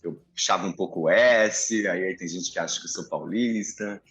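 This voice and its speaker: A man's voice: deep voice, normal speed, soft background music. A man in his thirties from Rio de Janeiro with a Carioca accent, chatting reflectively as an interview guest over a video call. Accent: Carioca Brazilian Portuguese, slightly softened. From Rio de Janeiro, Brazil. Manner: chatty, reflective, animated asides. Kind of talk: relaxed conversational storytelling. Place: apartment indoors. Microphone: headphones with mic on a video call.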